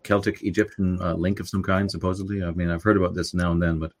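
A man's voice: low voice, little pitch movement, fast. A man from Canada chatting casually as an interviewer, informal and opinionated, rambling a little. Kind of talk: casual chat.

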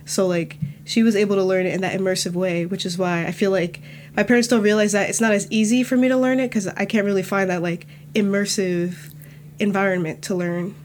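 A woman's voice: medium pitch, a little fast, slight background noise. Young woman chatting, filler-heavy. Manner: relaxed, many "like" and "you know" fillers. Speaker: student guest. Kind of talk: casual podcast conversation.

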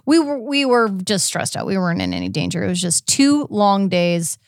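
A woman's voice: mid-range voice, big pitch swings, moderate pace, no echo, clean studio sound. A woman hosting a podcast, chatting casually as she recaps a case and explains it step by step. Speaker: podcast host.

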